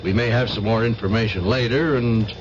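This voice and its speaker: An actor in a radio drama playing a methodical police lieutenant, deliberate and official as he questions a suspect. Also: fairly low pitch, natural voice. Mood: probing, measured. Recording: muffled, noisy old radio recording.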